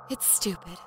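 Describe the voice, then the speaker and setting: A woman playing a frightened, embarrassed young woman in an audio horror drama, hushed and hesitant.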